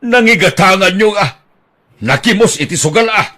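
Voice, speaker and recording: A man's voice: high voice, animated, moderate pace, sharp tone. An actor playing a teasing older husband who gambles, bantering with his wife in an audio drama.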